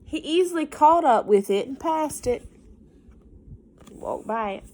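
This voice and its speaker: A woman reads a storybook to little kids at a slow pace. Her pitch moves in lively swings, and she often steps out of the text to explain things simply or ask the listeners a question. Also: slight background noise. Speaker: teacher reading a picture book aloud to children. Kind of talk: children's read-aloud with casual asides and questions.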